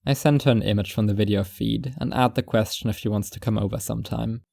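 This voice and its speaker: Male actor as reclusive, paranoid first-person narrator for audio fiction. Low, dry close sound, musing and wry. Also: steady tone, fast talker, gravelly, mellow, no echo. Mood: uneasy musing.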